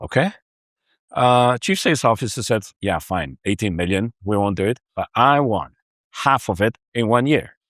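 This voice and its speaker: A man's voice: fairly low pitch, expressive, moderate pace, fairly bright tone, no echo, clean studio sound. Hesitant man explaining data projects. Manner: hesitant, many restarts and fillers. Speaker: data consultant. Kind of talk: casual business conversation.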